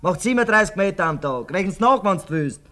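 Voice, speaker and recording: An actor playing a gruff, plain-spoken Austrian tunnel miner with an Austrian accent, grumbling in earthy colloquial speech. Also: male voice, sits high, animated, fast talker, bright, forceful tone. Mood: matter-of-fact.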